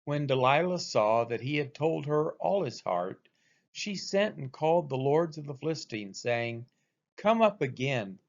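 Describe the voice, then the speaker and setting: A man reading scripture aloud as expressive narration, with deliberate, dramatic emphasis on quoted speech. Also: mid-range voice, muffled recording. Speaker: scripture reader.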